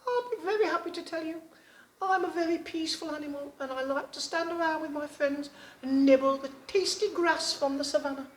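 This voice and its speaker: Woman doing a gentle, peaceful zebra. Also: sits high, brisk, pitched above the performer's own voice, lightly acted. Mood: gentle friendly. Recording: echoey room.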